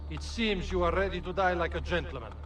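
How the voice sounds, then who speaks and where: A man's voice: high-pitched, quick pace, natural voice. Distant, menacing henchman played by a man. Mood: mocking menace. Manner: mocking, threatening. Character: menacing male henchman guard of a corrupt banker. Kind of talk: video game dialogue. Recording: distant voice under game music.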